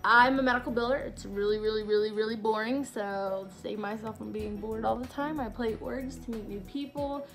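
A woman's voice: a little high, steady tone, brisk, few pauses, fairly bright tone, soft background music. Bubbly rambling woman on webcam. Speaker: contestant. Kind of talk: casual self-introduction. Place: bedroom at home.

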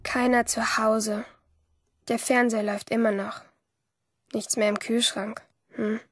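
An actress playing a lonely, sardonic teenage schoolgirl who narrates her day in a radio drama, in a breathy voice. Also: fairly high pitch, level tone, measured pace, fairly bright tone, dry recording, studio quality. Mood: lonely, flat.

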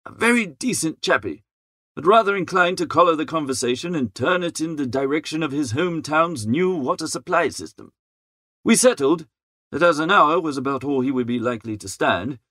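Animated, high-pitched man narrating a comic story. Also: no echo, studio quality. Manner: chatty, wry upper-class idler's phrasing. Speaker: audiobook narrator. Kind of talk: scripted first-person comic story narration.

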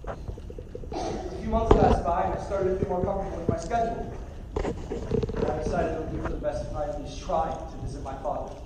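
A man's voice: a little high, steady tone, quick pace, pauses often, natural voice. A young man playing a troubled, resentful young man in an amateur stage play, with earnest, projected delivery. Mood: wistful narrating. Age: young adult. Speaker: stage actor. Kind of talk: amateur stage drama acting. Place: large theater stage, indoors. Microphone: far audience camera. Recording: noisy, echoey theater recording from far off.

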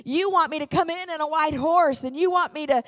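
A woman's voice: high-pitched, brisk, slight background noise. Fervent middle-aged woman preaching. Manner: emphatic and fervent, with repeated phrases. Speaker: pastor preaching to a congregation. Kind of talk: sermon with personal storytelling. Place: large church auditorium, on stage. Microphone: handheld microphone.

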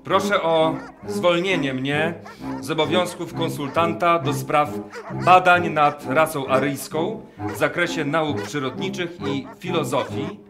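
An obsessive SS ornithologist in a radio play. The voice is a slightly high male one, slow and exact, officious yet menacingly tender. Music plays clearly behind him. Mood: officious, formal. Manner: formal, deliberate, precise. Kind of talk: radio drama monologue. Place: performed live in a wooden barn.

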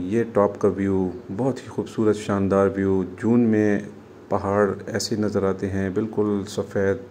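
A man with a Pakistani accent giving casual commentary as a travel vlogger outdoors. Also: on the low side. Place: outdoors on a mountain pass, partly riding a motorbike. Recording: noisy outdoor recording with wind and vehicle noise.

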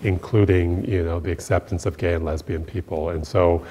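A man's voice: sits low, fairly even intonation, moderate pace, mellow, breathy edge. A middle-aged man giving a considered interview answer. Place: indoors in a church, seated interview.

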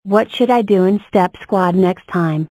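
A woman plays an earnest female number block from a children's counting cartoon. Her delivery is stiff and formulaic, at a middling pitch, in a dry, close recording. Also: a little slow, warm tone, dry recording, natural voice, rough recording.

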